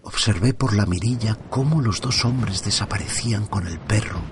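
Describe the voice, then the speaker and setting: Casting: middle-aged man, low voice, hushed storyteller, faint street hum behind. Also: normal speed. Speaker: first-person narrator. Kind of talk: scripted horror narration. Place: at home by an open window.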